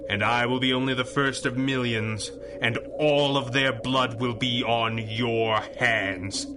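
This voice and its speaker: An actor playing a commanding, noble masked superhero in 1930s-style radio drama, earnest and deliberate. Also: male voice, medium pitch, steady tone, noisy background, lightly acted. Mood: urgent, pleading.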